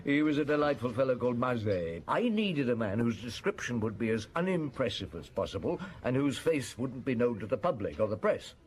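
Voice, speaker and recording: An actor playing a shrewd middle-aged Paris police chief, who recalls a case in a wry, chuckling way for a radio drama. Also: male voice, medium pitch, big pitch swings, brisk, hardly any pauses, darkish tone, close to an everyday voice. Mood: reminiscing. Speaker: narrator and detective.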